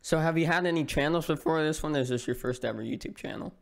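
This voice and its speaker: A man hosting a casual livestream interview, relaxed, laughing easily and reading viewers' questions aloud. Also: on the high side, quick pace, gravelly. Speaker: stream interviewer.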